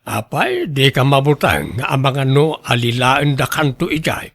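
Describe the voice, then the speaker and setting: A man's voice: mid-range voice, wildly swooping pitch, normal speed, gravelly, a bit bright, breathy edge, heavily acted. A man with a Filipino Ilocano accent playing a plain-spoken family man and father in a radio drama. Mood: resigned, advising.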